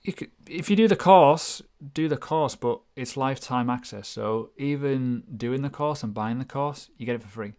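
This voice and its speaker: A man's voice: medium pitch, moderate pace, hardly any pauses, darkish tone, clean tone. A man hosting a casual live question-and-answer stream, chatty and self-deprecating, rambling through asides. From United Kingdom. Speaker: crypto livestream host.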